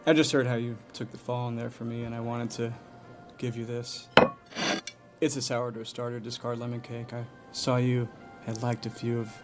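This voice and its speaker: An actor playing a creepy, adoring, submissive coworker with a halting, over-earnest delivery in a scripted podcast comedy. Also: mid-range voice, level tone, music in the background, natural voice. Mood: awkwardly earnest.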